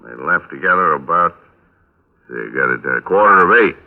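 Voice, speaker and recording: An actor playing a gruff, weary American police lieutenant who talks in a casual, hesitant, rambling way on old radio drama. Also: male voice, low voice, expressive, moderate pace. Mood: matter-of-fact. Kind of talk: 1950s radio drama acting. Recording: narrow-band old radio recording.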